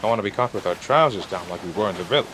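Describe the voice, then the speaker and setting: A man playing a gruff, wry older treasure hunter with folksy slang in an audio drama. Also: on the low side, expressive, rapid-fire, noisy background, close to an everyday voice. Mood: grumbling.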